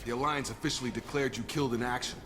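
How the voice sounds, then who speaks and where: A man playing a steady, easygoing soldier in a sci-fi video game, speaking in a direct, matter-of-fact way. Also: medium pitch, rapid-fire, background noise, natural voice. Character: steady, easygoing male soldier briefing a comrade. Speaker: game character voice actor. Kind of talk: cinematic sci-fi game dialogue.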